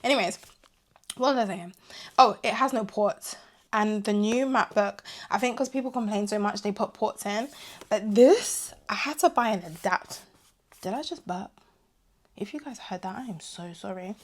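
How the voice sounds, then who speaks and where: Young woman, casual vlogger, chatty and animated, slightly hoarse voice. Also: medium pitch, moderate pace. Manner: chatty and animated, drifting into asides. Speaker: vlogger reviewing her tech purchases. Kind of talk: casual chat to camera.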